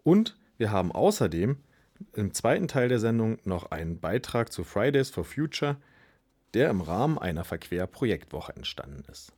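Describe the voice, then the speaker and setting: A man presents a radio show in a husky voice. His pitch moves widely as he introduces things, giving the delivery a lively swing. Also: moderate pace.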